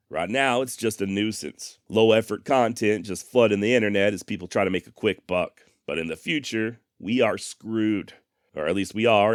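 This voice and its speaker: A man hosting a podcast in a deep voice, talking casually and plainly to his listeners with emphatic, salty phrasing, close on a studio microphone. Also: clear, resonant tone, no echo. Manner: plainspoken, mildly profane, emphatic. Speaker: podcast host. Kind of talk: casual direct address to listeners. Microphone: close dynamic studio microphone, processed.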